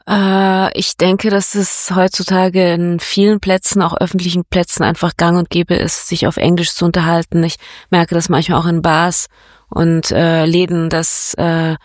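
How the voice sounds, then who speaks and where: A woman's voice: lowish voice, little pitch movement, normal speed, dry recording, studio quality. A woman from Italy, a photographer, talking casually and hesitantly as an interview guest.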